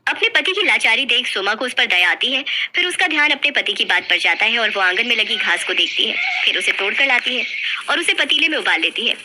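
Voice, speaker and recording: Very bright-voiced woman storyteller. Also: a little high, big pitch swings, brisk, a little hiss or hum. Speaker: story narrator. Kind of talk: scripted storytelling narration.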